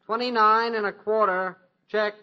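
A man's voice: sits high, steady tone, moderate pace, noisy background, lightly acted. An American male actor playing a bossy, irritated teenage brother in a 1950s radio sitcom. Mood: businesslike. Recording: dull vintage radio broadcast.

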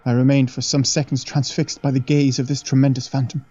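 A man's voice: mid-range voice, little pitch movement, a little fast, mellow, voice pushed up, close to an everyday voice. A man as a shaken young man recounting a ghost. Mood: haunted. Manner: earnest, solemn, dramatic. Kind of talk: audiobook reading. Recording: dull lo-fi dry recording.

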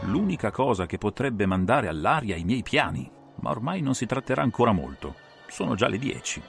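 A man playing a sharp, impatient detective with grave, urgent muttering, in a dramatised audiobook. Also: fairly low pitch, big pitch swings, a little fast, creaky, soft background music.

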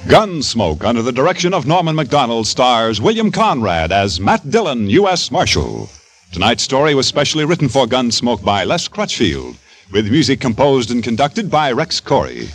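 A man's voice: mid-range voice, very animated, normal speed, breathy edge. A man announcing credits and promos in formal 1950s network radio style. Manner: formal, rolling announcer delivery. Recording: vintage 1950s radio broadcast with loud music.

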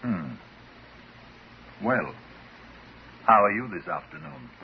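An actor playing a cool, detached psychiatrist, measured and deadpan, in a 1950s radio drama. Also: male voice, deep voice, exaggerated voice. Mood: cool, clinical. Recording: muffled, hissy 1950s radio recording.

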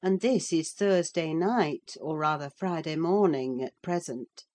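A woman's voice: low voice, measured pace, a little mellow. A woman voicing a sensible, firm female servant in a classic-novel audiobook, matter-of-fact, insistent and chiding as she soothes her mistress. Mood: dry, chiding.

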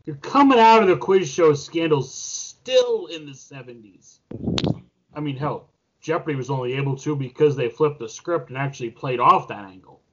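A man's voice: on the high side, animated, normal speed, dull audio, noisy background. A man giving casual, opinionated banter as a podcast panelist, emphatic and salty-tongued.

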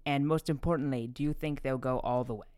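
A man's voice: medium pitch, fairly even intonation, fast, darkish tone, clean tone. A man co-hosting a podcast, asking questions and recapping in a casual chatty style. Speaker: podcast co-host. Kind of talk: casual interview and recap chat.

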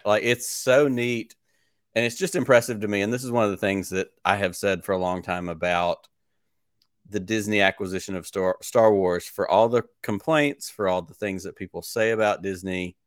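Dry, low-voiced man in his forties. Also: normal speed, no room sound. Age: 40s. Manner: enthusiastic, long-winded questions. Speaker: podcast host. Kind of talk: casual interview chat.

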